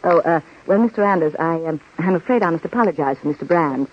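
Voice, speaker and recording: An actress playing a wry, plain-spoken studio secretary in a 1930s radio comedy. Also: female voice, sits low, expressive, quick pace. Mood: awkward, polite. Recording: dull, noisy 1930s radio recording.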